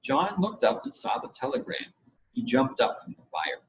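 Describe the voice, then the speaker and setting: Expressive male storyteller, lively pitch. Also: normal speed. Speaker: audiobook reader. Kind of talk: scripted storybook narration. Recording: dull audio with faint noise.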